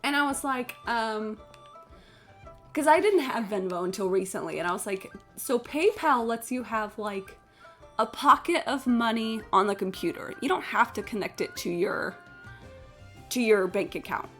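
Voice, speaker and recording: High-voiced, sweary woman livestreamer over game music. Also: normal speed. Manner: blunt, sweary, animated storyteller, frequent "like". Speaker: live streamer. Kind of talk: casual gaming stream chat, rambling anecdotes. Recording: game music playing behind the voice. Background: video game music.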